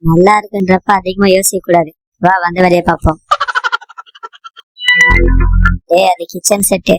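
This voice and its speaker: Woman voicing a low-pitched bossy cartoon boy. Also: level tone, a little fast, no room sound, faint music behind, close to an everyday voice. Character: bossy, mischievous young boy, the scheming cartoon sidekick. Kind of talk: cartoon comedy voice acting.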